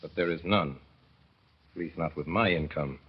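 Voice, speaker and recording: Deep husky male narrator, wry and mournful, muffled vintage radio sound. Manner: wry, mournful storytelling, slowing to dwell on sad images. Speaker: narrator and father. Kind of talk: 1930s radio drama narration. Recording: dull old radio broadcast.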